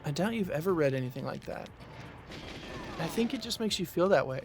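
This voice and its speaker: Man playing cocky young gamer brother, slightly high voice, casual wisecracks, audio drama. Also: animated, a little fast, over music. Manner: casual, bantering.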